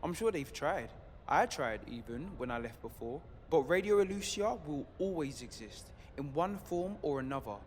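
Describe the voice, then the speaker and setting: Actor as high-voiced, earnest rebel man, reverberant. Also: lively intonation, normal speed, darkish tone, natural voice. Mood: quiet conviction. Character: earnest, determined male rebel in dystopian city. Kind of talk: audio drama dialogue. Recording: long reverb, faint background noise.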